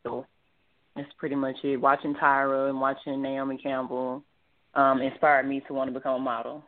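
A woman in her twenties from Texas, answering interview questions over the phone and pausing often on ums. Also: extremely low pitch, flat delivery. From Dallas, Texas. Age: 20s. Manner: hesitant, many ums, soft-spoken. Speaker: guest model. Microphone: phone line. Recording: tinny phone line.

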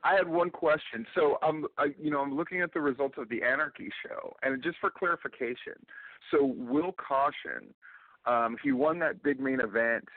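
A man's voice: mid-range voice, moderate pace, dry recording. A man hosting a talk show, eagerly firing off questions to his guests with lots of restarts. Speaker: radio talk show host. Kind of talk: interview host chat. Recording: tinny phone-like line, dry.

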